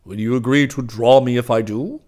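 A man's voice: medium pitch, steady tone, fairly quick, darkish tone, lightly acted. A man voicing a merry, eager old toy maker in a hearty, cheerful way for a storybook reading.

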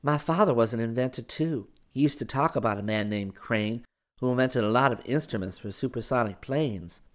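An eager young woman in a storybook adventure, well-mannered and admiring, played by a male narrator. The voice is lifted in pitch and politely keen. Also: moderate pace, natural voice. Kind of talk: audiobook character dialogue.

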